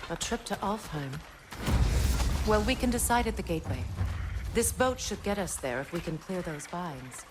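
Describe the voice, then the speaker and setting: A grave, guarded Norse goddess in a fantasy game. Her lowish voice is measured and formal, with loud noise around it. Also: sing-song. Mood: instructing. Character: proud, guarded Norse goddess, middle-aged woman. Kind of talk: video game character acting.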